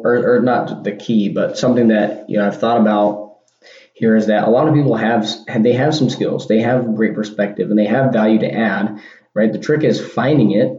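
A man hosting a casual solo podcast, rambling with restarts and punchy asides like "boom" and "bam". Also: dark tone. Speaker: podcast host. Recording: dull lo-fi sound in an echoey room.

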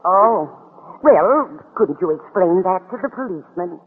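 A woman's voice: on the low side, lively intonation, measured pace, slightly rough. An actress playing a sweet, scatterbrained older landlady in an old radio sitcom, kindly and innocently literal. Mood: innocently puzzled. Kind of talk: 1940s-50s radio sitcom acting. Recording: noisy old radio broadcast, phone-like band.

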